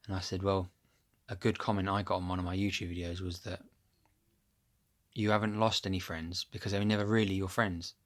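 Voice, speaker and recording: A man with a deep voice chatting casually to his phone camera at home, rambling and losing his thread with plenty of ums. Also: flat delivery, fairly quick. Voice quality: low, deep voice. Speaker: vlogger. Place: at home, upstairs room. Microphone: phone camera held close.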